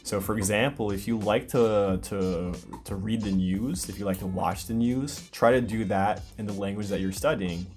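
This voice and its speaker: A man from the United States hosting a casual language-learning podcast, explaining things patiently with clear examples. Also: on the low side, moderate pace, hardly any pauses, darkish tone, faint music behind. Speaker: podcast host. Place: lives in Brazil.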